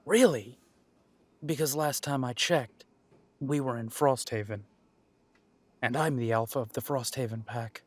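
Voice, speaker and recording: A man's voice: medium pitch, unhurried, pauses often, close to an everyday voice. A man playing a wry, tired and protective male werewolf pack alpha in an audio drama, with dry sarcasm. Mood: wry, assertive. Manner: dry, sarcastic, hesitant when weary.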